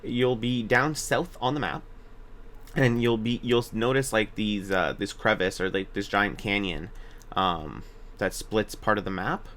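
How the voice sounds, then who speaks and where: Low-pitched man giving a casual game guide. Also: a little slow, fairly bright tone. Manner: informal, hesitant, self-correcting. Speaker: gaming video guide narrator. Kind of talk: casual game walkthrough commentary.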